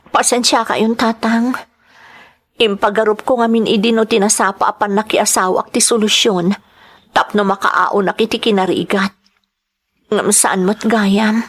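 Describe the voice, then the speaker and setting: A woman's voice: mid-range voice, steady tone, measured pace, husky. A woman playing a long-suffering young wife and mother in an audio drama, earnest and plaintive. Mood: sorrowful, hopeful. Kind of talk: Ilocano audio drama acting.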